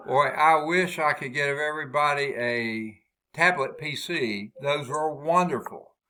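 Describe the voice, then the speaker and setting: A man with a loud voice, leading a math department staff meeting over a video call. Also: on the high side, unhurried. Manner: loud voice, talkative and explaining. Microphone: laptop built-in mic on a video call.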